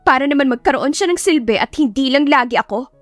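Spoiled scheming sister played by a woman, young, high-voiced, whiny and emphatic, audiobook melodrama. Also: animated, fast talker, no echo, faint music behind. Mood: indignant whining. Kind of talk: audiobook character acting, melodramatic.

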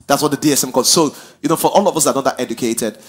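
A man's voice: mid-range voice, rapid-fire, slightly rough. A middle-aged man with a Nigerian accent leads a sermon Q&A from a big church stage. He prompts and echoes the speaker in front of him, with faint music playing in the hall. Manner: repeats and echoes guests' answers, prompting them. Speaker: pastor. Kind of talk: sermon with audience Q&A. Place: large church auditorium stage.

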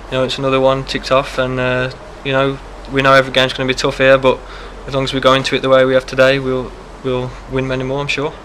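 A young man, a footballer, giving quick post-match answers into a close handheld mic, with many fillers. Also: mid-range voice, completely flat delivery, a bit bright, a little hiss or hum, poor audio. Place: press backdrop, indoors. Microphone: handheld mic held close.

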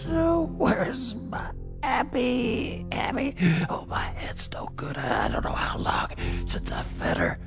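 Brief: a gravelly, crumbling New farmer, slow and raving, wild swings in pitch. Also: male voice, wildly swooping pitch, exaggerated voice. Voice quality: gravelly, rasping. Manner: broken, stammering, cracked raving. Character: dying farmer crumbling from alien blight, raving. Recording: radio drama with music underneath.

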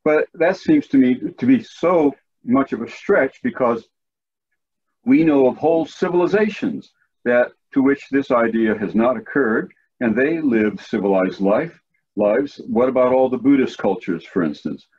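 An older man moderating a church study group on a video call, speaking in a measured, thoughtful way from a home study. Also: medium pitch, expressive, a little mellow, husky, lo-fi sound. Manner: measured, thoughtful talk with frequent restarts. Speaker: discussion moderator.

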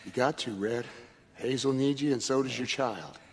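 A man's voice: medium pitch, normal speed, slightly rough. An actor playing a protective older brother in a war game cutscene, insistent yet tender as he encourages. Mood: urging. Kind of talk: dramatic video game cutscene acting.

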